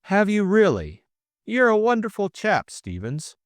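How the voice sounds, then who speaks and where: Man acting a breezy young gentleman, very animated pitch. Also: a little slow, no echo, clean studio sound, heavily acted. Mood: gracious, amused. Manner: breezy, hesitant, mildly bemused. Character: flippant, drowsy young English gentleman of leisure. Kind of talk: audiobook character acting.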